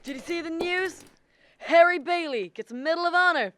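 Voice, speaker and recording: A woman's voice: very high-pitched, normal speed, a bit bright, no room sound. A young woman acting a forgetful, flustered old uncle in a radio drama, stammering and exclaiming. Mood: jovial, teasing. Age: young adult. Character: forgetful, flustered old Uncle Billy, male bank partner.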